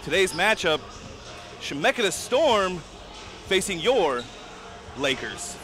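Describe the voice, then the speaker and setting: A man's voice: sits high, sing-song, takes it slowly, over music. A man giving play-by-play commentary for a college volleyball match, joking and stumbling over his words as he corrects himself. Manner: stumbling, self-correcting banter.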